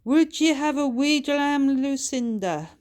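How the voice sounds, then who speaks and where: A woman's voice: high voice, lively intonation, slow pace, darkish tone, voice pushed up. A woman voicing a stocky, hearty, blunt Scottish biker with a brash, exclamatory way of talking, in a storybook reading.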